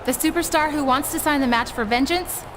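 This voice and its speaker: Woman acting as earnest young game heroine, determined, high voice, noisy background. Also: a little fast, lightly acted. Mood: urgent.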